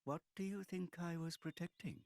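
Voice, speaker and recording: A man's voice: fairly high pitch, steady tone, moderate pace, a little mellow, no echo, close to an everyday voice. A man playing a wise, grave old guardian grandfather in a video game, speaking in a solemn, cryptic manner. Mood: solemn, knowing. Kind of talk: dramatic video game acting.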